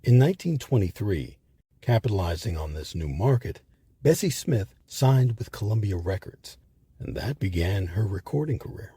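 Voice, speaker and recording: A man hosting a history series, reading scripted documentary narration with measured, emphatic phrasing. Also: on the low side, big pitch swings, dark tone, husky, dry recording.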